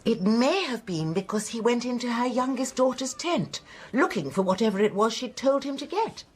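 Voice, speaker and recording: A woman's voice: a little high, lively intonation, normal speed, breathy edge. An actress playing a prim, gossipy lady witness, chatty and deferential in a radio drama. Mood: eager, gossipy. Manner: chatty, prim, eager to tell.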